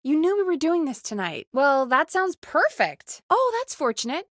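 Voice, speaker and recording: An actress playing a friendly, teasing woman who produces a small-town radio show in a video game, talking in an earnest, expressive, chatty way. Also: high voice, normal speed, no echo, studio quality. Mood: playful, teasing. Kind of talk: video game voice acting, conversational.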